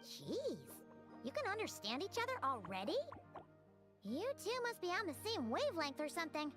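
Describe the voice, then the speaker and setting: A woman's voice: sits high, very animated, normal speed, music in the background. A woman voicing a tiny childlike fairy companion, pert and exclaiming, in an anime game. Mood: incredulous.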